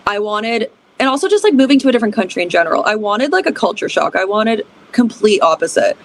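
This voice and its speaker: A woman's voice: medium pitch, sing-song, fast. Chatty upbeat young woman singer. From Canada. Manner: chatty, upbeat, many fillers. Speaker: band singer and guest. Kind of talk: casual interview chat.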